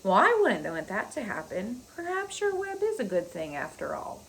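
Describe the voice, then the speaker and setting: A woman reader plays a naive little boy pig from a storybook. He is gentle, anxious and squeamish, speaking hesitantly with animated pitch over a noisy recording. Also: moderate pace. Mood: surprised. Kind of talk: children's storybook read aloud.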